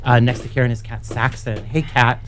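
A man hosting a morning radio show in a studio, chatty and a little halting, laughing easily. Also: medium pitch, level tone. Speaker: radio host. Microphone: studio microphone.

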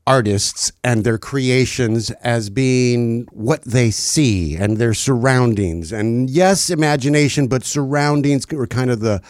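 A man hosting a casual interview, friendly and joking, with frequent halting restarts. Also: mid-range voice, a little mellow, no echo, clean studio sound.

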